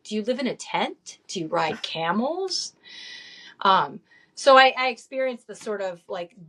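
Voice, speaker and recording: A woman's voice: mid-range voice, expressive, measured pace, a bit bright, poor audio. A woman in her late forties, a guest in a casual interview, telling her story with frequent laughs, ums and restarts. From upstate New York, raised in Saudi Arabia.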